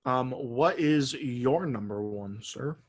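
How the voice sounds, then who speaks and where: A man's voice: mid-range voice, slow. A man co-hosting a podcast in casual banter, speaking in blunt, slangy and sweary bursts. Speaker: podcast co-host.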